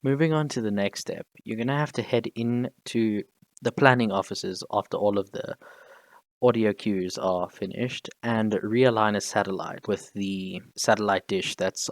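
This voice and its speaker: A man's voice: fairly low pitch, no echo. A man giving casual, instructional voice-over commentary for a video game walkthrough, close on the mic. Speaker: gaming tutorial narrator.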